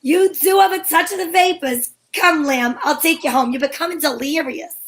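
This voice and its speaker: A breezy, flirtatious lady detective acted by a woman with a high voice. She wisecracks archly in the style of a 1940s radio mystery, moving between narration and banter. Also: normal speed. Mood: breezy, suspicious. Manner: arch, wisecracking, endearments. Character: sassy female private eye, flirtatious and breezy.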